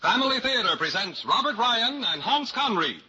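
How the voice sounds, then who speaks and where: A man's voice: extremely high pitch, big pitch swings, normal speed, heavy background noise. A man announcing a radio drama grandly in old-time style, on a dull vintage recording with music.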